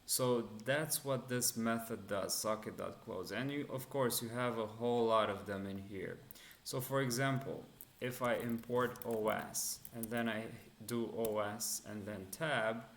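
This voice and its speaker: A man's voice: medium pitch, fairly even intonation, a little slow. A man from Romania hosting a live coding and security stream, talking casually with many fillers and restarts while he answers chat questions. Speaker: livestream host teaching cybersecurity. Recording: echoey room.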